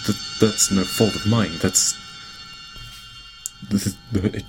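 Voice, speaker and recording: An actor playing a guilt-ridden lord in an audio drama, dictating a private log in halting, stammering speech broken by sighs. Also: male voice, on the low side, fairly even intonation. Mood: defensive. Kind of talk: audio drama acting, personal log monologue. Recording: music behind the voice. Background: music.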